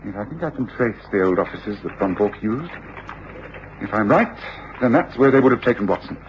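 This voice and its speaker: Actor as animated English gentleman detective, muffled recording. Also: lowish voice, a little fast, natural voice. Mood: urgent, reasoning. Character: brilliant, commanding Victorian English detective, brisk and deliberate. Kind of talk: radio drama acting. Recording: muffled, noisy old radio drama recording.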